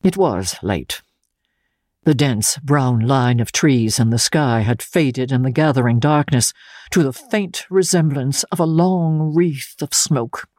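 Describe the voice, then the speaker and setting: A woman narrating a classic novel in the first person, reading dramatically in a measured, grave manner with weighted pauses. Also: rumbling low, darkish tone, no echo, studio quality. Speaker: audiobook narrator.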